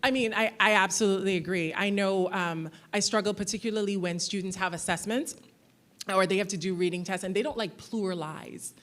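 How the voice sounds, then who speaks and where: A woman's voice: mid-range voice, brisk, fairly bright tone. A woman panelist and teacher, speaking earnestly and emphatically in a university panel discussion. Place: university lecture hall.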